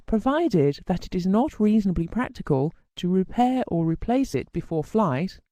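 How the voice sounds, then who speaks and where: A formal instructional narrator delivers a scripted technical lesson in a measured, explanatory manner. The voice is soft-edged and mellow, with animated rises and falls in pitch. The sound is close and dry. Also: no room sound.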